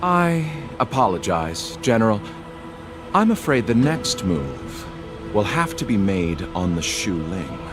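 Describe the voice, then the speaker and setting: A grave, scheming envoy in a video game. His voice is deep and animated, with formal, courteous phrasing over a bed of music. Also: takes it slowly. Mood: politely firm. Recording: music playing behind the voice.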